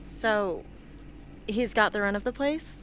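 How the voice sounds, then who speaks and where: A woman's voice: mid-range voice, takes it slowly, a bit bright. Woman acting blunt, defiant young rebel woman, noisy recording. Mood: concerned. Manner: blunt, sardonic. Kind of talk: amateur radio play acting.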